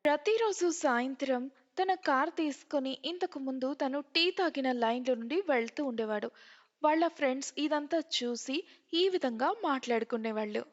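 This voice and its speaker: High-voiced woman storyteller in long reverb. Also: normal speed, muffled recording. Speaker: narrator. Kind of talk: scripted story narration.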